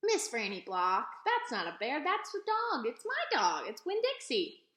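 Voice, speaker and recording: Woman playing young girl, earnest, polite, eager, storybook dialogue, lively intonation, roomy sound. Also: high voice, measured pace, pitched above the performer's own voice, full character voice. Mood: concerned, insistent. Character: earnest, polite young girl who loves her dog.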